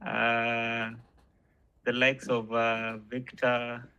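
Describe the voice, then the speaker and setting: A man's voice: medium pitch, completely flat delivery, very slow, sharp tone, clear, resonant tone, dull audio. A man in his thirties from South Sudan introducing himself as a student on a video call, laughing and good-humoured. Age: 30s. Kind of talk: casual self-introduction.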